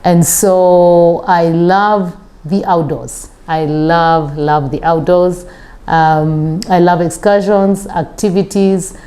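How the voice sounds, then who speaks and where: A middle-aged Kenyan woman, a travel coach, talking casually in an interview with thoughtful pauses. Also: fairly low pitch, fairly even intonation, warm tone. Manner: thoughtful, with many pauses and fillers.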